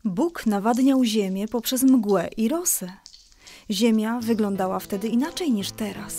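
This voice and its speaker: Woman acting a patient, high-voiced storytelling mother. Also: measured pace, warm tone, no echo. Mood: gentle. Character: gentle mother telling her children a Bible story. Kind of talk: children's radio drama storytelling. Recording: faint music behind the voice.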